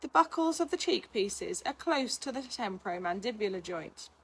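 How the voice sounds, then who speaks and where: A woman reading a scripted article aloud in a measured, instructional manner. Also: mid-range voice, sing-song, husky. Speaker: reader of a blog, physiotherapist.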